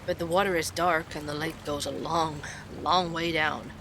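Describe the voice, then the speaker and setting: A man's voice: on the high side, flat delivery, normal speed, noisy background, lightly acted. An actor playing a curious male investigative podcaster, who narrates and probes with questions. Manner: inquisitive, probing.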